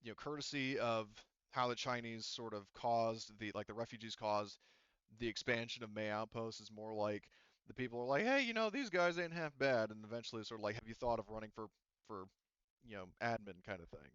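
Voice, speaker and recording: A man running a tabletop roleplaying game off the cuff as game master, rambling and halting with frequent restarts and asides. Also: on the low side, a bit bright, clear, resonant tone, no room sound, dull audio.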